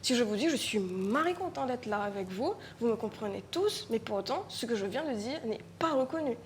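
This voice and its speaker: A woman from Mauritius with a Mauritian French accent, her voice sitting a little high. She speaks as a writer at a live conference panel, reflective and halting, often restarting and repeating words. A faint hiss lies under her voice. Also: lively intonation.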